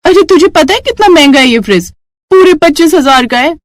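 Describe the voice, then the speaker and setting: A woman's voice: high voice, animated, a little fast, no room sound, studio quality, voice pushed up. A woman voicing a haughty, scornful village mother in a children's story, mocking and emphatic. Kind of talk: children's moral story acting.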